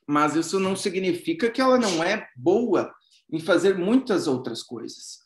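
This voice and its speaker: Casting note: male psychologist lecturer, higher voice, relaxed and chatty over a video call, echoey room. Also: moderate pace. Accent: non-Bahian Brazilian Portuguese. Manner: informal, conversational, frequent fillers and restarts. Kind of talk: online lecture.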